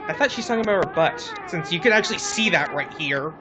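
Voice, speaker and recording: A woman's voice: fairly low pitch, expressive, quick pace, a crowd in the background. A woman co-hosting a let's play, giving casual gameplay commentary in a hesitant, conversational way.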